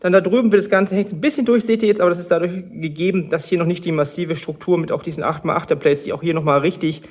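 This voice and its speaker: A man's voice: high voice, fairly even intonation, fast talker, few pauses, darkish tone. A man with a slight cold, chatting casually while he explains his building steps in a hobby vlog. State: has a cold. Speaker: LEGO builder and channel host.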